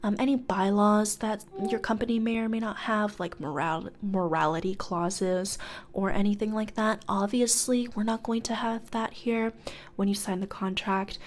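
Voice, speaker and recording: A woman playing a businesslike, politely sinister female demon recruiter in a soft, breathy ASMR whisper, close to the microphone. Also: medium pitch, fairly even intonation, close to an everyday voice. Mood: businesslike, explaining. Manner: hesitant, measured corporate politeness, murmured. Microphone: close studio condenser microphone.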